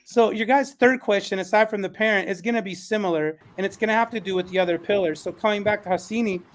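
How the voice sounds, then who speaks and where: A man's voice: high-pitched, fast talker. A man speaking conversationally as a panel host and schoolteacher, earnest and appreciative. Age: adult, parent of a newborn.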